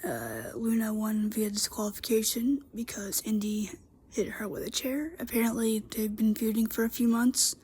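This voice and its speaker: A woman's voice: on the high side, moderate pace, hardly any pauses, darkish tone, breathy edge. A woman vlogger with a congested voice, recapping a wrestling show in a chatty, rambling way with many fillers and restarts. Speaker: YouTube wrestling reviewer. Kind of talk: casual solo show recap.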